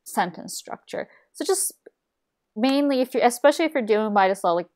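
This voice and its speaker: A woman's voice: fairly high pitch, animated, brisk, a little mellow. A young woman from Turkey chatting casually while streaming a game, rambling with lots of fillers and restarts, recorded at a desk mic in a small room at home. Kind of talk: casual chat while gaming.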